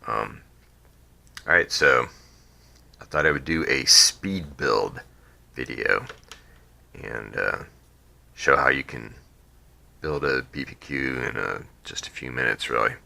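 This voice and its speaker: A man with a cold, sniffly and croaky, casually explaining a drone build at his workbench, rambling with many ums and restarts. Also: a bass voice, slow pace, pauses often, bright, forceful tone. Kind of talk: casual how-to build video.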